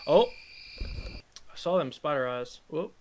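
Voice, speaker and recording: A man's voice: fairly high pitch, animated, takes it slowly, over a noisy crowd, low-quality recording. A man casually chatting and reacting to videos with other people.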